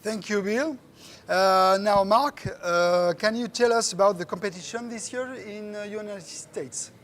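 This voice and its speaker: A man with a high voice and a moderates a panel. He talks slowly and hesitantly, in plain words. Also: a bit bright.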